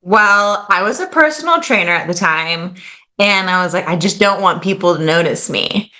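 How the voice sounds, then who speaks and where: A woman's voice: lowish voice, expressive, moderate pace, sharp tone. A woman in her late twenties, a guest on a podcast, talking in a casual, chatty way full of "like" and "kind of". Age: late 20s. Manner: chatty, fillers like "like" and "kind of", laughs easily. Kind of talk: casual interview chat.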